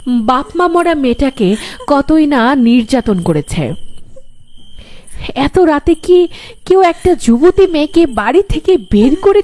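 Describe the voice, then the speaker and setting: A woman's voice: high voice, darkish tone. A woman narrating a first-person horror story in a dramatic, suspenseful way.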